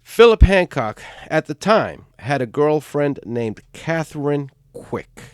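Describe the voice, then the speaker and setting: A man hosting a true crime channel, giving a stern scripted narration while hoarse from a cold. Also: fairly high pitch, big pitch swings, slow pace, no echo, studio quality. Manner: stern, emphatic delivery.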